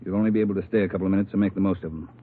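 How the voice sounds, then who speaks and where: A man playing a gruff police boss giving brisk orders in a low voice. He comes through a tinny, faintly noisy old radio recording. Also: steady tone, close to an everyday voice. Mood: commanding. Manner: curt, authoritative. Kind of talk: 1940s radio police drama acting.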